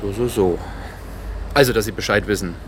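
An actor playing a dogged, sceptical police inspector in a naturalistic radio drama, speaking in a dry, probing, firm way. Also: male voice, lowish voice, fairly quick, slight background noise, natural voice. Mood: firm, confronting.

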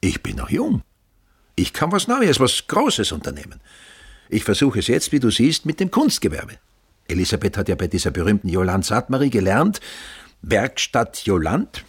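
A blunt husky businessman. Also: fairly low pitch, wildly swooping pitch, normal speed, exaggerated voice. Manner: blunt and matter-of-fact. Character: blunt older male businessman, father-in-law. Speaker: actor in radio drama. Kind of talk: radio drama acting.

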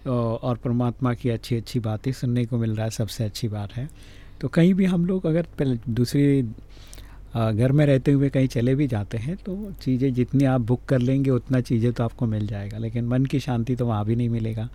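A man with an Indian accent hosts a radio talk show in a mellow, soft-edged voice. He chats casually with a guest and often adds short affirming murmurs. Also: mid-range voice, normal speed. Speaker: radio host.

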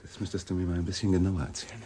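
An actor plays a cool, curious male reporter in a radio play. His voice is fairly low and casual, probing quietly, with some ambient noise behind it. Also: little pitch movement, very fast, lightly acted. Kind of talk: naturalistic German radio drama acting.

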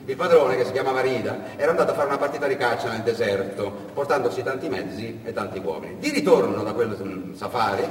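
A man narrating a dramatic stage monologue as an actor, with deliberate pauses and swells. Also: medium pitch, animated. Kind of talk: theatrical storytelling monologue. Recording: echoey hall, distant mic, faint noise.

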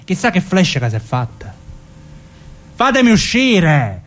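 A hot-headed gangster, lively southern Italian voice. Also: male voice, sits high, unhurried, exaggerated voice. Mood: agitated, pleading. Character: jailed gangster, hot-headed, whining and threatening, proud. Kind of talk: theatrical drama dialogue.

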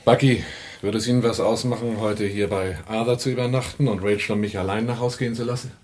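An actor playing a calm, self-assured protector with dry optimism in a radio thriller. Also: male voice, lowish voice, fast, close to an everyday voice. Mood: decisive. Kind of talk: radio drama dialogue.

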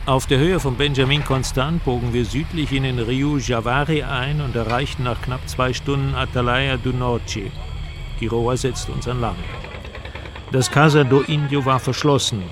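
A male actor as a sober traveller recounting a journey, with a mid-pitched voice. The radio drama narration is measured and descriptive, with faint ambient noise of insects behind it. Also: steady tone, natural voice. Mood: sober, sombre. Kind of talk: scripted first-person radio drama narration.

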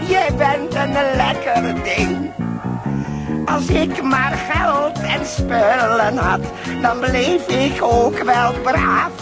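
A cheeky, sly crook singing in a children's radio play. The voice is high and creaky, drawn out slowly over loud music. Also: female voice, sing-song, heavily acted. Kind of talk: playful duet song in children's play.

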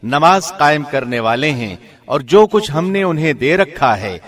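A man with a fairly high voice reads scripture translation aloud. His delivery is formal and measured, in the declamatory manner of a radio presenter. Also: expressive, a bit bright. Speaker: translation reader, radio host. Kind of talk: scripted religious narration. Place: studio.

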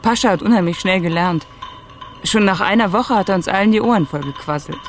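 An actress playing a blunt, casual young hospital volunteer in a radio play, talking colloquially. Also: female voice, medium pitch, fairly quick, background noise, natural voice. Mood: teaching.

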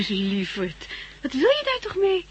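An actress playing an affectionate, curious, gently sceptical young wife in a 1960s radio drama. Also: female voice, high voice, wildly swooping pitch, slow, a little hiss or hum, exaggerated voice, poor audio. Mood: teasing, curious. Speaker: radio play actor.

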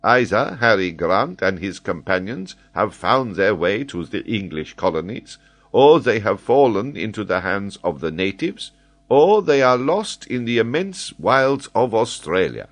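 A man voicing an excitable, learned French geographer, speaking eagerly and emphatically as he explains. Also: lowish voice, a little slow, voice pushed up, full character voice. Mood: lecturing. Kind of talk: audiobook character voice.

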